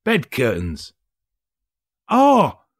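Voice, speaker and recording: A man's voice: mid-range voice, huge pitch swings, extremely slow, no room sound, clean studio sound, pitched above the performer's own voice, full character voice. A man voicing a brazen, callous working-class woman thief in an audiobook, scoffing and emphatic in a colloquial way. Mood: brazen, scornful.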